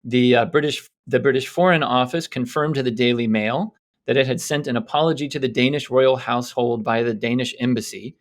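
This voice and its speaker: A man's voice: mid-range voice, a little fast. A man co-hosting a podcast, casually retelling news stories in a bantering talk style. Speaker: co-host presenting a news quiz segment.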